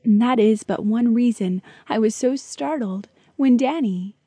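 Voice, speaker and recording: A woman reads a memoir aloud in a calm, measured way, her voice a little high, mellow and soft-edged. There is a slight breathy huskiness to her tone. The studio sound is dry and close. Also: no room sound. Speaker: audiobook narrator.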